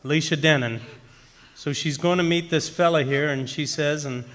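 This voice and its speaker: A man acting in a radio play as a folksy, baffled forest ranger, talking haltingly in colloquial phrases. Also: a little high, fairly bright tone, natural voice. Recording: echoey hall with background noise.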